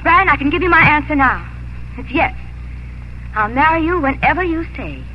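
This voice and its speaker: An actress playing a spirited, proud young planter's daughter in 1950s radio drama. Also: female voice, sits high, big pitch swings, moderate pace, noisy background. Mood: indignant, cold. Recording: muffled old radio broadcast, music.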